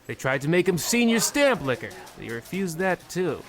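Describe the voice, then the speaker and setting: A man's voice: sits high, very animated, normal speed, soft background music. A man playing a sardonic, dismissive postal clerk in an old-time radio comedy style. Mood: dry scorn. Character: sardonic, dismissive male postal clerk who joins the mockery.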